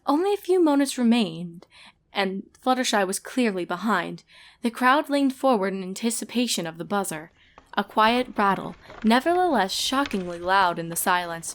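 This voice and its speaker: A woman reading scripted story narration in a measured, expressive storytelling manner. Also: mid-range voice, slight background noise. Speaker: fan fiction reader and narrator.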